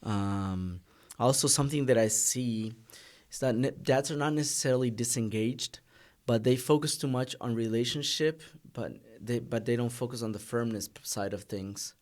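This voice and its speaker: A man's voice: medium pitch, normal speed, darkish tone. Earnest Argentine-born man on a podcast. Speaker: guest. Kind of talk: casual podcast conversation.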